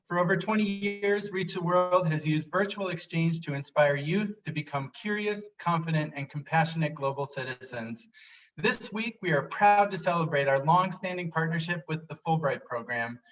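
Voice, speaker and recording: A man's voice: a little high, animated, moderate pace, a bit bright, distant mic, lo-fi sound, a little hiss or hum. A man hosting a live stream, introducing a guest in an upbeat, announcer-like delivery over a video call.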